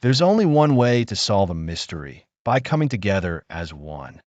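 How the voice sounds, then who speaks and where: A man's voice: fairly low pitch, sing-song, normal speed, darkish tone, no room sound, highs cut off. A man voicing a confident, commanding lion king in a children's story.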